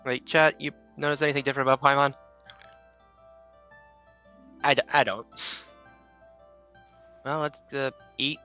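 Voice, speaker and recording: Streamer playing thru a game, reads lines out loud, offhand and halting, bright sharp voice, music in back. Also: medium pitch, level tone. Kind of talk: live game stream commentary, reading dialogue aloud. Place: live stream voice over game audio.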